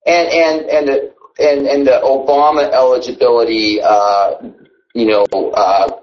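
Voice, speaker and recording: Male talk radio host, mid-range voice, halting delivery, dull lo-fi sound. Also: medium pitch, fairly even intonation, a little mellow. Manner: halting, lots of uh fillers.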